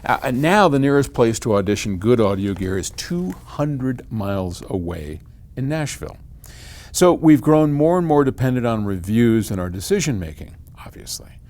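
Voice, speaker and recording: A man's voice: medium pitch, big pitch swings, normal speed, a little mellow. An older American man talking casually to the camera, explaining things in a frank, conversational way with folksy emphasis. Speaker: company head answering customer questions in a video series.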